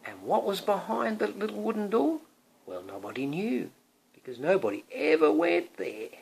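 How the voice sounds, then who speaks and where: A man's voice: high voice, big pitch swings, normal speed, gravelly, darkish tone, a little hiss or hum, poor audio. A man, a father, reading a picture book aloud to his young son, drawing out words expressively and adding chatty asides that prompt the child.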